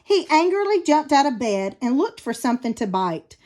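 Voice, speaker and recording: A woman reading a children's storybook aloud as an expressive narrator. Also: high-pitched, moderate pace. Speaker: storybook reader.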